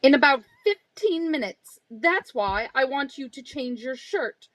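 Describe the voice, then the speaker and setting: A woman's voice: high voice, lively intonation, a little slow, fairly bright tone, no room sound. A woman playing a cold, cutting, condescending middle-aged wife in a live radio drama reading. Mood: bossy. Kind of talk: live reading of an old-time radio script.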